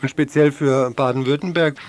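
A man's voice: mid-range voice, steady tone, moderate pace, darkish tone, slight background noise. A man presenting a scripted news report on the radio.

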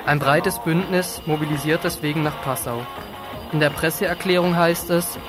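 A man reading scripted radio news in an earnest, slightly halting way, as a presenter. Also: fairly high pitch. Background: music under the voice.

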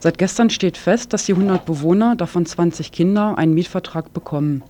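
Higher-voiced man reading a news report. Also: fairly quick, mellow, a little hiss or hum. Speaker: radio news presenter.

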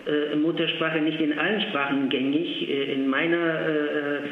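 Man, halting and full of uhs, tinny phone line, heavy echo. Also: on the high side, level tone. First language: Polish. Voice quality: halting, many filled pauses. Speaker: interview guest, language centre official. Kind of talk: phone interview. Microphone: telephone.

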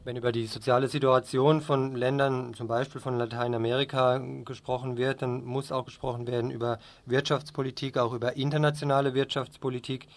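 Male interviewer, mid-pitched and measured. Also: little pitch movement. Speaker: radio interviewer. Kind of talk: measured, formal interview questions.

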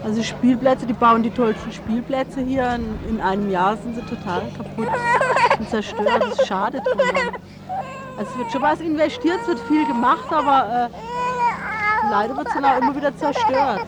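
A woman with a fairly high voice answers an interviewer off the cuff. She sounds sceptical and resigned, and there is clear outdoor noise around her. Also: lively intonation, normal speed, over a noisy crowd. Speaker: resident giving street interview. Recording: noisy outdoor street recording.

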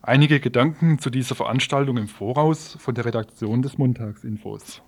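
A man with a medium-pitched voice presents a radio news programme. He reads prepared teaser texts in a studio. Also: moderate pace, breathy edge.

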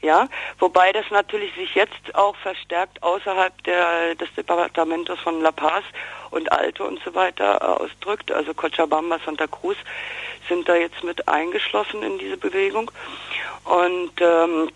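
Woman on a dry phone line, husky and croaky, halting off-the-cuff news interview. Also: fairly low pitch, steady tone, dry recording. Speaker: interviewed political observer. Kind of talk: spontaneous interview report.

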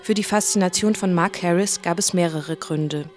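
A woman's voice: fairly low pitch, normal speed. A woman narrating a scripted radio feature as interviewer and presenter. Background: music.

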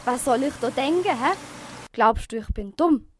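A woman's voice: high-pitched, lively intonation, moderate pace, slight background noise. A woman playing a brash, wounded male would-be gangster rapper with a Swabian accent and pushy, slangy talk in a radio play. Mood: confiding, dejected. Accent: Swabian German.

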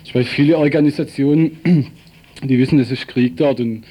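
A man's voice: medium pitch, fairly even intonation, normal speed, mellow, slightly rough. A man being interviewed as a peace activist, answering informally and searching for his words.

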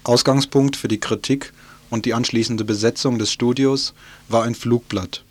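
A man's voice: medium pitch, steady tone, normal speed. A man presents the news on radio, reading from a script.

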